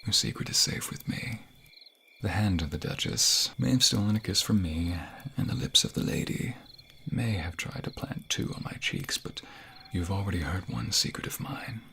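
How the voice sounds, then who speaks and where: A man playing a low-voiced, tender romantic king. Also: lively intonation, moderate pace, pushed and bright, a little hiss or hum, heavily acted. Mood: tender, intimate. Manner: intimate, breathy, formal courtly phrasing. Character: tender young king confiding in his secret lover. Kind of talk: ASMR romantic fantasy role play.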